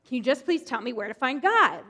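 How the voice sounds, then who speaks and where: An earnest young princess, played by a woman, asks her question again and again in a pleading tone. Her voice is high. The sound rings through a hall with a long reverb. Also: sing-song, fairly quick, sharp tone, heavily acted.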